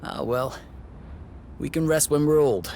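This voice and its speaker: A man playing an easygoing young man, a gentle partner in casual video game dialogue. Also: on the high side, a little slow, natural voice. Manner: easygoing, conversational. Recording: prominent music behind the voice.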